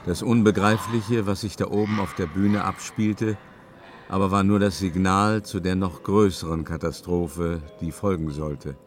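A male actor playing an uneasy, haughty opera-goer who recounts events as a measured, suspenseful first-person radio drama narration. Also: sits low, fairly even intonation. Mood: tense, frightened.

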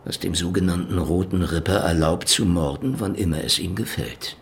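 An actor playing a sly, mocking private detective with dry mock courtesy in a German radio drama. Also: male voice, deep voice, normal speed, vocal fry, slight background noise. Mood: dry, probing. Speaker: lead actor in a radio play.

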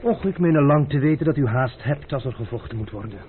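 A man playing a stubborn, teasing gentleman adventurer in a radio play, speaking with mock courtesy and unhurried insolence. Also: mid-range voice, animated, lightly acted. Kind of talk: old radio drama acting. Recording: tinny, band-limited old radio recording with hiss.

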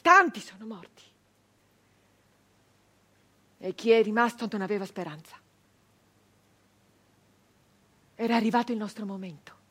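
An actress playing a captured nineteenth-century brigand woman, wounded, bitter and doomed, in an intense, halting theatrical monologue. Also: mid-range voice, a bit bright, husky. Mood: grim, grieving. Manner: intense, halting delivery with repeated phrases.

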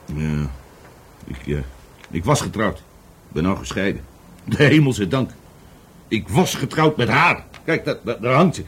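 A man's voice: lowish voice, sing-song, measured pace, pauses often, fairly bright tone, slightly rough, heavily acted. An actor in a Dutch radio play playing a drunken, bitter divorced man who rambles, stammers and repeats himself. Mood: bitter, slurring. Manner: stammering, repetitive, tipsy.

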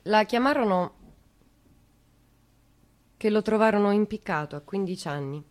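An actress playing a haunted woman from a poor family, telling a memory in a halting, colloquial way with a southern Italian accent, in a radio monologue. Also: mid-range voice, natural voice. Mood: haunted remembering. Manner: halting, repeats words, colloquial. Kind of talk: intimate dramatic storytelling monologue for radio.

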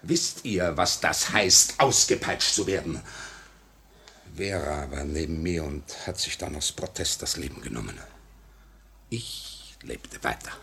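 An actor playing a bitter, uncompromising Russian revolutionary in a declamatory 1950 radio play style. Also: male voice, rumbling low, animated, unhurried, bright, forceful tone, a little hiss or hum, exaggerated voice. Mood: bitter, wounded. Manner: declamatory, emphatic, rhetorical. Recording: vintage 1950 radio recording.

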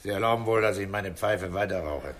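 Low-voiced, commanding inspector. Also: male voice, fairly quick, a bit bright, slight background noise. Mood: calm, dry. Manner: clipped, authoritative. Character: calm, commanding male police inspector, dryly unhurried. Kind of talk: 1960s German radio drama acting.

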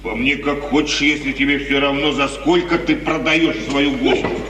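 An actor playing a menacing, sly outlaw in an insinuating 1950s radio theatre manner. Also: male voice, medium pitch, fairly even intonation, normal speed, natural voice. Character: menacing, sly outlaw bandit, sharp-featured killer. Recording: old echoey radio play, distant, noisy.

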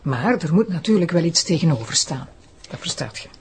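A woman's voice: low-pitched, animated, a little fast, a little mellow, a little hiss or hum. A woman playing a firm, coaxing deputy public prosecutor with a Flemish accent in a crime drama. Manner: persuasive, authoritative. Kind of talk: crime audio drama acting.

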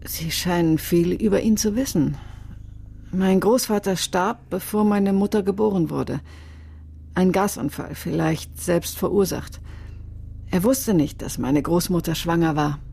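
An actress playing a reticent, enigmatic fortune teller with a husky, creaky voice and a guarded, terse manner in a radio crime drama. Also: female voice, on the low side, unhurried, warm tone, exaggerated voice. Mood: calm, revealing.

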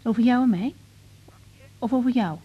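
An actress playing an overworked young woman doctor in a radio drama, caring and down-to-earth, husky and matter-of-fact with hesitant restarts. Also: a little high, normal speed, highs cut off. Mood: casual, tired. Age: young adult. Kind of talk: naturalistic radio drama acting.

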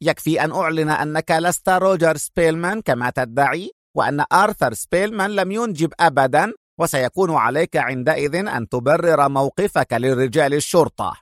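A man voicing a haughty, hot-tempered British gentleman spy in an audio story, indignant, defiant and threatening. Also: on the high side, fairly quick, no echo, clean studio sound, close to an everyday voice. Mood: furious.

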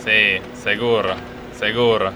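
An actor playing an earnest, cautious factory worker with an Argentine accent in a radio comedy sketch. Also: male voice, fairly low pitch, little pitch movement, slow pace, sharp tone, slight background noise, heavily acted. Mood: cautious, conspiratorial.

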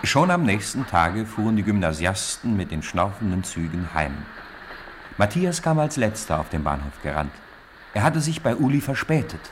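A man narrating a radio play in a calm, even storyteller's delivery. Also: fairly low pitch, normal speed, a little hiss or hum.

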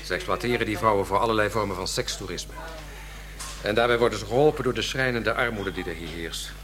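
An actor playing a worldly Dutch expatriate guide in a radio drama, explaining things bluntly. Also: male voice, on the low side, fast, fairly bright tone, lightly acted. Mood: explanatory. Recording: clear background noise and hum.

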